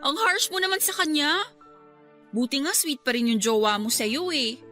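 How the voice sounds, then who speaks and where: A woman's voice: high-pitched, lively intonation, normal speed, sharp tone, faint music behind. A woman playing a candid, understanding younger sister in a radio drama, chiding and playfully teasing. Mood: frank, reasoning. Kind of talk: radio drama dialogue in Filipino.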